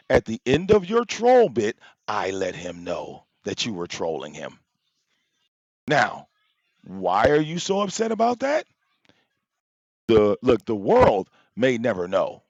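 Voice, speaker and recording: Guy ranting live on his stream, loud voice, pitch jumping everywhere, kinda muffled dry audio, mic popping. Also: no room sound. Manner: argumentative, fires rhetorical questions, profane. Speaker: livestream host. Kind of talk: confrontational live-stream rant. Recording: dull, slightly lo-fi, dry sound.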